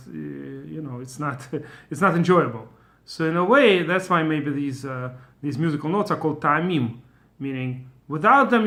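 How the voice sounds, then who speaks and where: Bright-voiced man with a Russian accent. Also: fairly high pitch. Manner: thinking aloud, many fillers and restarts. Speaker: Torah teacher. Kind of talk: informal explanatory lesson.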